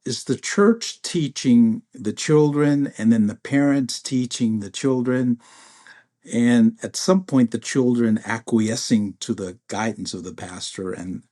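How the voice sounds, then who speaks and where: Man, pastor, interview host, reflective, halting, mid-pitched voice. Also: dark tone. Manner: reflective, with frequent restarts. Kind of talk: interview conversation.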